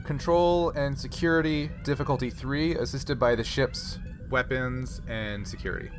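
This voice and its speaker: A man running a tabletop game as game master, narrating casually and thinking aloud in halting phrases. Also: medium pitch, huge pitch swings, background noise.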